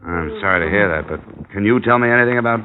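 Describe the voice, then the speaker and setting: An actor playing a blunt, no-nonsense American insurance investigator in a radio crime drama, speaking tersely. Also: male voice, lowish voice, fast talker, a crowd in the background, natural voice. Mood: guarded. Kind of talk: 1950s radio drama acting, some narration. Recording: muffled, noisy old radio recording.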